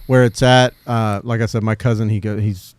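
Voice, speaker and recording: A man hosting a podcast, chatting in a relaxed, joking way and swearing casually. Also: lowish voice, normal speed, clean tone, dry recording. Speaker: podcast host.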